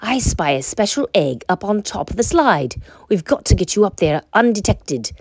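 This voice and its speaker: A woman's voice: medium pitch, very animated, normal speed, slightly rough, dry recording. A woman voicing an eager, confiding rooster plotting helpfully in a children's storybook.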